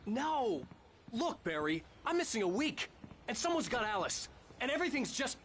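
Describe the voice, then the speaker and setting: An actor playing a troubled novelist in his thirties who narrates in a low, clipped, brooding noir manner in a video game. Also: sing-song, normal speed, background noise. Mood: desperate. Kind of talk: noir narration and cutscene dialogue. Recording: game audio with music under it.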